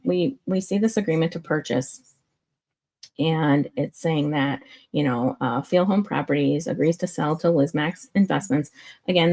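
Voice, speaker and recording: A woman with a low, gravelly, nasal voice talks through a real estate contract on a live stream. She explains each point step by step in a casual way. Her talk is loose, with many "um"s and restarts. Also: dark tone. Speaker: commentary streamer. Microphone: streaming setup mic.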